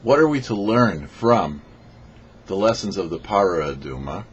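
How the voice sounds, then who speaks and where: A man reading a scripted religious teaching as a rabbi and show host, phrasing deliberately and emphatically with pauses. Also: low-pitched, sing-song, slightly rough. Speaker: rabbi, host of a weekly Torah portion show. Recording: dull lo-fi audio with faint noise.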